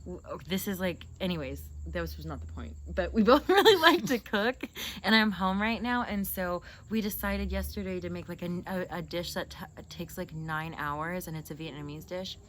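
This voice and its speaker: Low-voiced young woman, noisy recording. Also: normal speed. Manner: animated, teasing, joking. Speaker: host interviewing a sibling. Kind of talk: casual chat and storytelling. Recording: noisy, with clear background noise.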